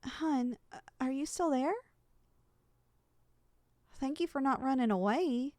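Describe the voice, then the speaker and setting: Kind, folksy giantess acted by a woman, high and unhurried, soothing ASMR roleplay delivery. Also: no room sound. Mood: gentle, curious. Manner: soothing, folksy, gently reassuring. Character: kind, gentle young giantess homeowner comforting a tiny person.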